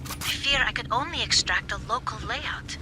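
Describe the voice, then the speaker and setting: A woman voicing a polite android analyst in a video game, speaking in a formal, measured and precise way. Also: a little high, noisy background, close to an everyday voice. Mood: precise, calm. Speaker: team's technical analyst and translator.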